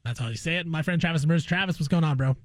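A man's voice: on the high side, very fast, no room sound, studio quality. A man guest-hosting a sports talk radio show, interviewing in an informal, chummy way.

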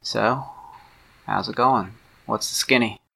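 A man voicing an easygoing small-town sheriff in a tabletop game, asking informal, unhurried questions. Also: on the low side, steady tone, creaky, sharp tone, slightly rough, heavily acted, low-quality recording. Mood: friendly.

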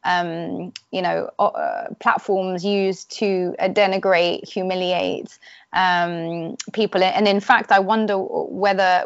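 A woman's voice: medium pitch, dry recording, lo-fi sound. A woman interviewer asking probing questions with some hesitant phrasing.

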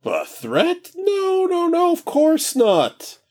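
A man voicing a chatty ancient male god-king who stammers and rambles with breezy excitement. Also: very high voice, slow pace, pitched above the performer's own voice, exaggerated voice. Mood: rambling, glib.

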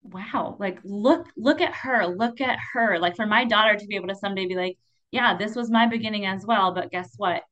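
A woman's voice: medium pitch, fairly quick, a bit bright, dull audio. Effusive woman podcast host. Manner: effusive and affirming, with many "like"s. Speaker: podcast host and foster mom. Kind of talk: warm-hearted interview hosting.

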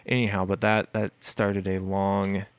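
A man with a deep voice, a musician guest in a casual interview, telling a rambling story full of fillers and restarts. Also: flat delivery, a little slow, clean tone, no echo.

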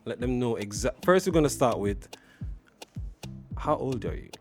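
A man's voice: medium pitch, lively intonation, normal speed, darkish tone, faint music behind. A man hosting a casual radio interview, teasing and slangy. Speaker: radio host. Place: radio studio.

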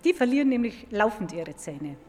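A woman's voice: medium pitch, big pitch swings, normal speed, a little mellow. A woman paleontologist explaining science to children in an informal, emphatic way, recorded in a large echoing museum hall. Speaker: museum paleontologist, guest expert.

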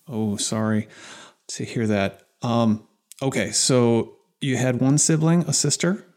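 A podcast host asking a guest questions in a curious, unhurried way. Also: medium pitch, a little mellow. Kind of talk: interview conversation.

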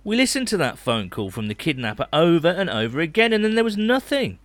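A man acts out a bumbling coach in a comic audio drama, with a highish voice that swoops around. He is excitable and easily flustered, on a dry, close recording. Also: fast, no room sound. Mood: flustered, sheepish. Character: panicky, bumbling head coach of a podcast team. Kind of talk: amateur comedy audio drama acting.

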